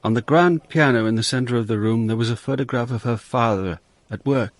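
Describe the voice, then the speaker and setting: A man's voice: medium pitch, a little fast, dry recording, close to an everyday voice. An actor playing a wry, world-weary police inspector who narrates his own story in a radio drama. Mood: reflective. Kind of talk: radio drama acting with first-person narration.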